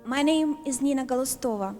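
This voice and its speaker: High-voiced woman testifying in an echoing hall. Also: dark tone. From Azerbaijan. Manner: deliberate, short emphatic phrases. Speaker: church member giving a testimony. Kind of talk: prepared testimony speech to a congregation. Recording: echoey hall, long reverb, music.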